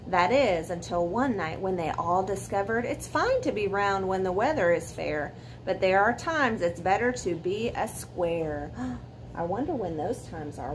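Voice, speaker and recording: High-voiced woman reading a bedtime story, noisy recording. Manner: expressive, dramatic emphasis with questions to young listeners. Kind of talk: read-aloud children's bedtime story with asides. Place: living room at home beside a fireplace. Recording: clear background noise, room ambience.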